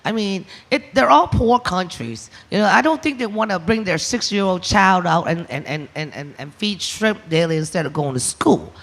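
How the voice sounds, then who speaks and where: A man from Vietnam speaking on a panel as a shrimper, halting and repeating words as he builds his point. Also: high voice, lively intonation, vocal fry, a bit bright. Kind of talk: impassioned panel talk.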